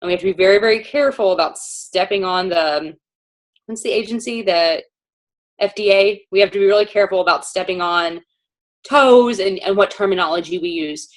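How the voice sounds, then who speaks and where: A woman's voice: medium pitch, a little fast. A woman casually presenting products to a panel, informal and chatty with many fillers.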